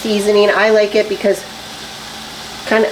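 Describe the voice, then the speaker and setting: A woman's voice: medium pitch, flat delivery, normal speed, background noise. A woman fitness vlogger talking casually while she cooks, sounding a little stuffy and nasal after a cold, upbeat and full of fillers. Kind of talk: casual chatty vlog while cooking. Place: home kitchen. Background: faint sizzling of frying food.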